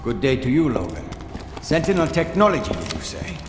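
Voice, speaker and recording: A man's voice: medium pitch, very animated, fairly quick, lightly acted. Man playing a suave official in an echoing hall. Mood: formal, accusing. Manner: formal, precise, condescending. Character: suave, accusing male authority figure, provocative and cold. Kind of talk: video game cutscene acting. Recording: echoey hall reverb under music.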